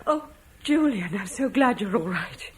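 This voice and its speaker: An actress playing a caring, motherly Roman wife, soothing and gentle in a vintage radio drama. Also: mid-range voice, animated, a little slow, slight background noise, close to an everyday voice.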